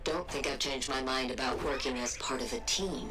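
An actress playing a cold, calculating ice villain scientist in a video game, speaking in a clinical, formal, measured way. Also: female voice, rumbling low. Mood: cold, resolute. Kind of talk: scripted video game dialogue. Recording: loud music behind.

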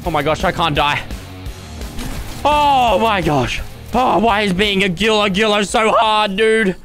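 High, bright, frantic young gamer man. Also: measured pace, faint music behind. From Australia. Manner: loud, dramatic, squealing yelps and groans. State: starting to lose his voice. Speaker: gaming YouTuber. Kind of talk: excited gameplay commentary.

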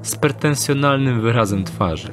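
A man narrates a fantasy audio drama in steady, descriptive storytelling. His voice sits at a middle pitch. Music plays clearly behind him. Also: normal speed.

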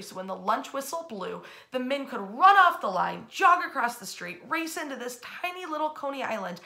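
An American woman in her thirties telling history informally, with an animated, emphatic delivery. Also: fairly high pitch. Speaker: history storyteller and host. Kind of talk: informal history lesson told as storytelling. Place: bedroom, indoors.

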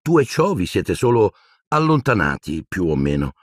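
A man's voice: sits low, big pitch swings, moderate pace, dry recording, clean studio sound. A man voicing a grumbling, clumsy teenage schoolboy in an audiobook.

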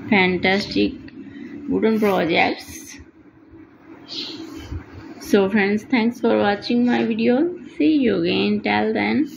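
Creaky-voiced woman hosting a slideshow. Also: mid-range voice, slow pace, long pauses, noisy background. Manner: repetitive, enthusiastic promotional phrasing; non-native, simple English. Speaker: channel host. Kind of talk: voice-over for a slideshow.

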